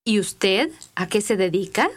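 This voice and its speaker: A woman reading scripted language-course dialogue with clear, deliberate enunciation. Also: mid-range voice, animated.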